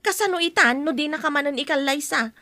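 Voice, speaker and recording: Woman acting nagging young friend, blunt, high-pitched, sharp, audio drama. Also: fast, no room sound. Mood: chiding. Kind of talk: Ilocano radio-style comedy drama acting.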